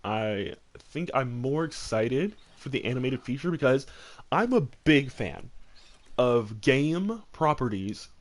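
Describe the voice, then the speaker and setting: Male discussion host, casual and opinionated, emphatic rambler with a very lively, mid-pitched delivery. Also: slow pace. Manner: rambling, emphatic, repeats phrases for stress. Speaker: host of a gaming discussion show. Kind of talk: casual chat, opinionated commentary.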